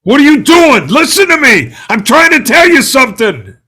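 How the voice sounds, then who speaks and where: An actor playing an angry, bigoted juror in a play read-through, ranting in a colloquial, hectoring way and growing desperate. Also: male voice, very high-pitched, sing-song, normal speed, sharp tone, full character voice. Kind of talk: dramatic table read of a stage play.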